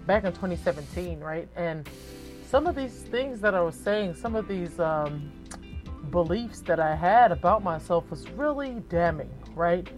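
A deep, low-pitched woman in her thirties talks straight to her listeners as a podcast host. Her delivery is candid and conversational, peppered with profanity and quick rhetorical check-ins. Faint music sits underneath her voice. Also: lively intonation, darkish tone. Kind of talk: casual solo podcast monologue.

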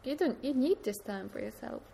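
A woman's voice: fairly high pitch, expressive, fairly quick, gravelly. A young woman from Poland with a Polish accent tinged with British, chatting casually with thoughtful, rambling restarts. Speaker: guest. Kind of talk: casual chat. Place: train station. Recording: noisy train station ambience.

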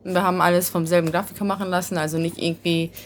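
A woman's voice: lowish voice, little pitch movement, very fast, a little hiss or hum. A young woman raised in Vienna with Hungarian as her first language, speaking German with a Hungarian-Viennese accent. She is a band singer telling stories in a casual interview, with many fillers and easy laughs. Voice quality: chatty storyteller, many fillers, laughs easily.

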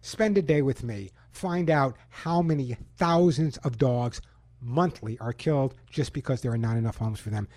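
A man's voice: mid-range voice, normal speed, a little mellow, no echo. A man hosting a call-in pet radio show in a studio, giving emphatic, self-assured advice and reading ads. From Long Island, New York. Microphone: studio microphone.

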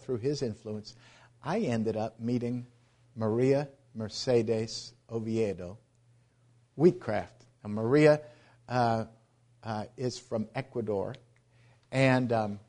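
A middle-aged man, a missionary, giving an earnest church presentation about his ministry, halting often and restarting his sentences. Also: mid-range voice, darkish tone.

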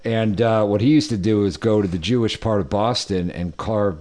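A man's voice: lowish voice, normal speed, a little mellow. A sardonic, profane man co-hosting a podcast in casual comedic banter. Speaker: podcast co-host.

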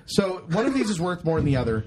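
A man's voice: sits high, very animated, quick pace, a little mellow, husky, rough recording. A man in his thirties hosting a podcast in casual chat, rambling, swearing and laughing at himself. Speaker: podcast host.

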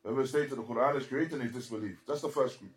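Deliberate, emphatic male religious teacher. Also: mid-range voice. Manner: deliberate, emphatic, halting in short repeated phrases; switches into fluent Arabic quotations. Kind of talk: religious lecture explaining a text.

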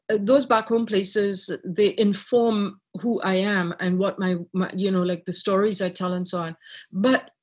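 A middle-aged woman from Trinidad, a writer and artist, talking reflectively in an interview with many pauses and restarts. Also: medium pitch, husky. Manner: thoughtful, many fillers and restarts.

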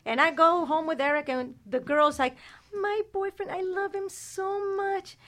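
Brash high-voiced woman, crude and blunt. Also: steady tone, measured pace, fairly bright tone. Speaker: co-host. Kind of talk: raunchy casual chat.

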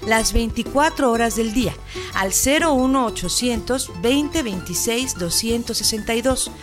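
An actress plays a Mexican health helpline operator. Her voice is fairly high, over clearly audible music. She is polite and informative in a scripted radio announcement. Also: lively intonation, normal speed, natural voice. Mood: courteous, informative.

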